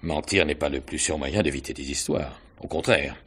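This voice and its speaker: An actor playing a shrewd, courteous police inspector in a French radio mystery play, questioning in a hesitant, deliberate way. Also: male voice, rumbling low, fairly bright tone, breathy edge, exaggerated voice. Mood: probing.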